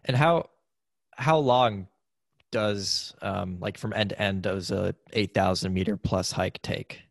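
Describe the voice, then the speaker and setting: A man's voice: deep voice, slow, vocal fry, no echo, studio quality. A man hosting an interview, asking casual questions with lots of hesitation and fillers. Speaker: podcast host interviewer.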